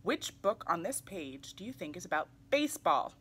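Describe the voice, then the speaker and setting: A young adult woman reading a children's picture book aloud in an animated way, putting questions to young listeners. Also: on the low side, moderate pace, a little hiss or hum. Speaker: read-aloud storyteller for children. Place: small indoor room, seated in armchair.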